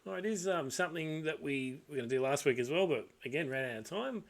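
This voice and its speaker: A man co-hosting a casual sports podcast, laid-back and quick to laugh at himself. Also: fairly high pitch, lively intonation, vocal fry. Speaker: podcast co-host. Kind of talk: casual sports tipping chat.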